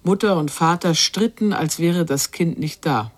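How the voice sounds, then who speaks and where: A performer as the sombre narrator of a family story in a radio drama, measured and poetic. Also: medium pitch, lightly acted.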